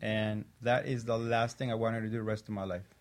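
A man talking as a guest on a talk show, reflective and measured, with a few restarts. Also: fairly low pitch, flat delivery.

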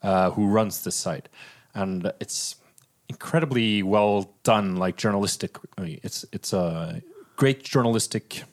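Male podcast co-host, lowish voice, informal chatty delivery with loose, hedging phrasing. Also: a little slow. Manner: informal, hedging with frequent "sort of" and "like". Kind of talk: casual film podcast chat.

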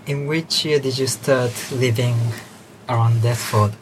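A man's voice: mid-range voice, fairly even intonation, unhurried, noisy background. Man interviewer who rephrases his questions. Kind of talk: oral history interview.

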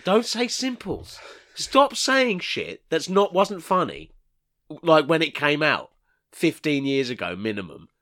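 A man's voice: a little high, wildly swooping pitch, a little slow, fairly bright tone. A man co-hosting a podcast in casual banter, sweary and mock-indignant, sounding a bit bunged up from allergies. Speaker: podcast co-host.

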